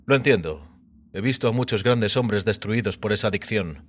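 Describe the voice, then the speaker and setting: A man voicing a mysterious, composed and quietly assured visitor in audio fiction, speaking in a measured, calm way. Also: fairly low pitch, lightly acted. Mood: calm, reflective.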